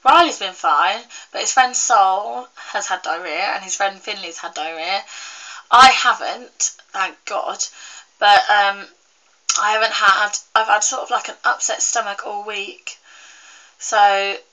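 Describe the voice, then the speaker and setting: A young woman vlogging in a casual, rambling chat to camera, full of fillers and restarts, her voice a little croaky and congested from a cold. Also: medium pitch, measured pace, pushed and bright, poor audio. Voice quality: slightly croaky.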